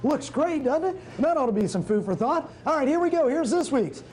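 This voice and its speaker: Very high-pitched punchy male TV reporter. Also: expressive, moderate pace, over music. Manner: punchy, demonstrative showman delivery. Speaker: television news reporter. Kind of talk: on-location live report and feature presenting.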